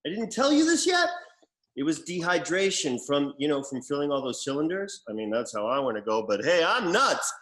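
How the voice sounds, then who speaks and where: A man's voice: fairly high pitch, very animated, fairly quick, a bit bright. A man playing a drunken, gushing, boastful man in a sitcom table read over a video call. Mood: drunken, excited. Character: eccentric executor, drunken boastful man in a bar.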